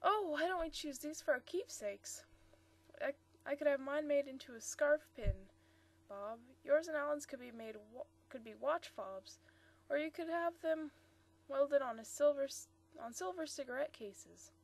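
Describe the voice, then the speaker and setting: A woman's voice: high voice, lively intonation, moderate pace. A woman reading the part of a playful, eager young woman who gushes and teases. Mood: gushing. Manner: gushing, bubbly.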